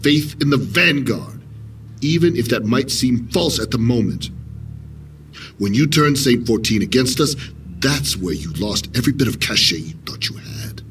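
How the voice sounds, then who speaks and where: An actor playing a stern, angry military commander in an audio drama, scolding with authority. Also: male voice, medium pitch, animated, normal speed, fairly bright tone, distant mic, slight background noise, lightly acted. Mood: angry, commanding.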